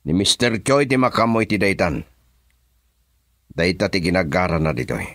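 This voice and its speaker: A man's voice: deep voice, sing-song, moderate pace, fairly bright tone, no room sound, clean studio sound. A man with a Filipino accent acting a scheming, commanding male boss in a radio drama. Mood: defensive, curt.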